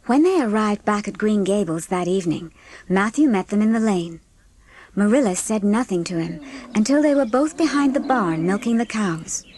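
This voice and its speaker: A woman's voice: medium pitch, animated, moderate pace, darkish tone, slight background noise, lightly acted. A woman giving scripted narration for a dramatised audiobook.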